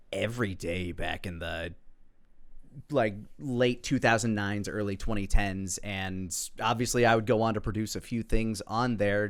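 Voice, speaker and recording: A man's voice: lowish voice, a bit bright. A man giving casual, rambling, self-deprecating commentary on his own old videos.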